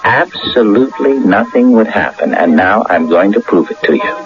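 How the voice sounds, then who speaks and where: An actor playing an earnest, excitable and stubborn ordinary man in an emphatic, theatrical radio drama style. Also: fairly low pitch, moderate pace, over music. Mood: intent, confident. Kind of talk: 1980 American radio drama acting. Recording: dull lo-fi old radio broadcast.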